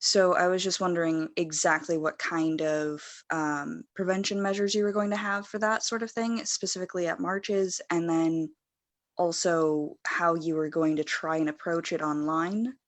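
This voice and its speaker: A woman's voice: lowish voice, steady tone, moderate pace, no room sound. A woman on a video call asking a long, hesitant question, with frequent "um"s. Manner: hesitant, many "um"s. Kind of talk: casual discussion on a video call.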